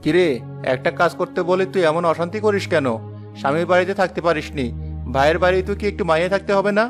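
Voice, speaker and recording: A man playing a harsh cartoon brother with a high male voice. He scolds at a rapid pace over faint music. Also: natural voice. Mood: scolding. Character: harsh brother scolding his widowed sister. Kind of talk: Bengali moral-story cartoon voice acting.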